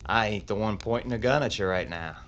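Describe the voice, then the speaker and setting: A man's voice: on the low side, dull audio, lightly acted. A man as a cool, taunting gunfighter. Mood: calm, commanding. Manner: calm, unhurried, mocking drawl. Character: cool, taunting male Old West gunfighter.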